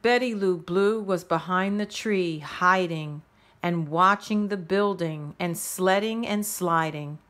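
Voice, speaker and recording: A woman reading a rhyming children's story aloud in a measured, expressive storytelling manner. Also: on the low side. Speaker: storybook reader. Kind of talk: children's read-aloud narration in rhyme.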